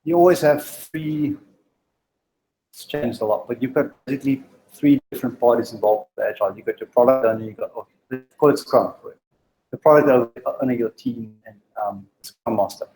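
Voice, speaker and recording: A man's voice: medium pitch, mellow, poor audio. A man giving a direct leadership talk to a live group, stuttering, with many ums and restarts. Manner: halting, with frequent ums and restarts; direct, exhorting. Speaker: meetup speaker on leadership. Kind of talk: informal presentation to a live group.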